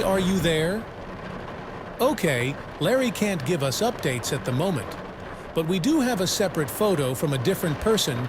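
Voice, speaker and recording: Guy acting as worried tv weatherman, kinda high voice, super animated, explains stuff, noisy recording. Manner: explanatory, urgent, conversational. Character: concerned male TV meteorologist covering a storm live. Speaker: weather broadcast presenter. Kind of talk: acted live storm coverage.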